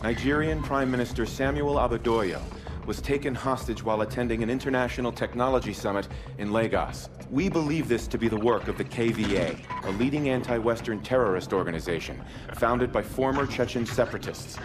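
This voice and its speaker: An actor playing a sober military briefer, delivering a formal scripted mission briefing. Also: male voice, medium pitch, a little fast, natural voice. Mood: sober, official. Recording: music behind.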